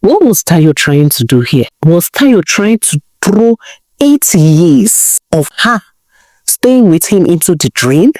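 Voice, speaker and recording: A woman's voice: lowish voice, sing-song, slow, darkish tone, no room sound. A woman with a Nigerian accent telling a folktale as a dramatic storyteller.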